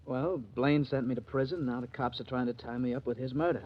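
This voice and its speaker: A man's voice: medium pitch, brisk, close to an everyday voice. An actor playing an anxious, earnest male ex-convict in a 1940s radio drama. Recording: lo-fi 1940s radio recording with faint hiss.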